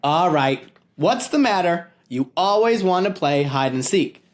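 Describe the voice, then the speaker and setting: A man puts on a curious young boy from a storybook, an eager playmate. The voice sits high above normal speech, bouncy in pitch, with a pushy, questioning manner. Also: expressive, measured pace. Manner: bright, insistent, questioning. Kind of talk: children's storybook read-aloud.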